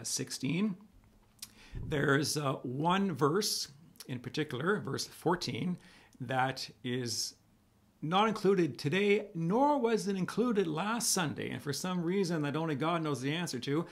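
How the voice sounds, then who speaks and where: Raspy priest guy, gravelly, chatty homily on camera, middle-aged. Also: on the high side. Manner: conversational, animated hand gestures, reads scripture slowly. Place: small room at home.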